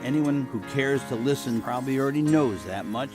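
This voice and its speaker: An actor playing a weary, wistful elderly American war veteran, reminiscing in a folksy, halting, rambling way as an audio drama monologue. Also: male voice, medium pitch, music in the background, natural voice. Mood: wistful, tender.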